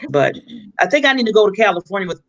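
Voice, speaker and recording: A woman's voice: mid-range voice, sing-song, fast talker, lo-fi sound. An American woman guest on an online panel, joking and laughing as she talks. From United States. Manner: jokey, laughing. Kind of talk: casual chat.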